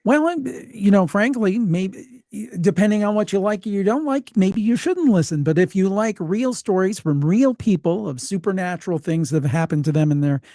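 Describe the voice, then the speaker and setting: Man, slightly high pitch, mellow tone, dry close sound, casual podcast guest chat. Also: animated, moderate pace, hardly any pauses, dry recording. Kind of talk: casual pitch in a live interview.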